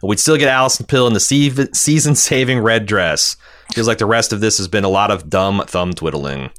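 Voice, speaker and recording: A man with a fairly low voice hosts a casual podcast. He talks through television recaps and listener comments as an opinionated critic. His delivery is exasperated and salted with profanity. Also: moderate pace, fairly bright tone. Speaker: podcast host. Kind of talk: casual TV recap discussion, reading listener feedback.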